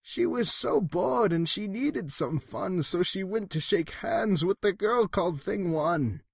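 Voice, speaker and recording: A man's voice: sits high, lively intonation, gravelly, breathy edge, no echo, studio quality. A man narrating a rhyming horror storybook tale in a theatrical storytelling manner. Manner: theatrical, slow-paced storytelling.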